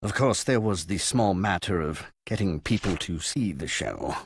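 A man voicing a long-suffering animal adviser who narrates a video game story with dry, theatrical exasperation and a husky voice. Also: low voice, animated, moderate pace, slight background noise. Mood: storytelling. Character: prim, long-suffering male aye-aye royal adviser narrating.

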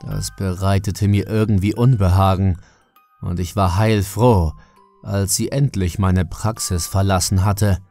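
A man's voice: sits low, steady tone, measured pace, mellow, soft background music. A man reading a scripted first-person horror audiobook as the narrator.

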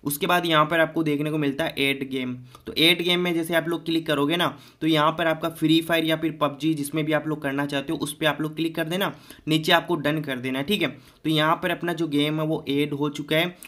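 A man's voice: a little high, fairly even intonation, fairly quick, a bit bright. A young man giving a casual step-by-step phone tutorial, talking informally to viewers as friends and often repeating little filler phrases. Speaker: tech tutorial YouTuber.

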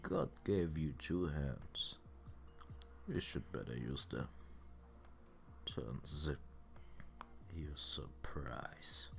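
Man voicing a very deep, gravelly seductive incubus demon. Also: faint music behind, heavily acted. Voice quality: breathy low murmur, often whispered. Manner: slow, teasing, commanding. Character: seductive, teasing, domineering male incubus demon. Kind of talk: ASMR roleplay, intimate whispering.

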